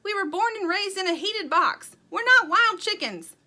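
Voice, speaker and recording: Woman playing a high, know-it-all young hen. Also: brisk, bright, forceful tone. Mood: scornful. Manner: emphatic, dismissive, know-it-all. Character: self-assured, argumentative young female chicken.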